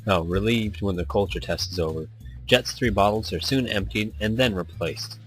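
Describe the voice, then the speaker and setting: A man's voice: on the low side, moderate pace, fairly bright tone, rough recording. A man hosting a gaming let's-play in a casual, rambling, self-deprecating chat, reading game text aloud. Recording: music behind the voice. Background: background music.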